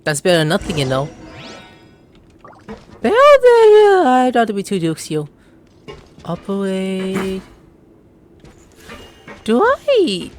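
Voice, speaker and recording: Slangy male gamer with lively pitch. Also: takes it slowly, pauses often. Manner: slangy, exclamatory, stumbles when reading aloud. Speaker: gaming video host. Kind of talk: casual gameplay commentary with read-outs. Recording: faint game music behind the voice.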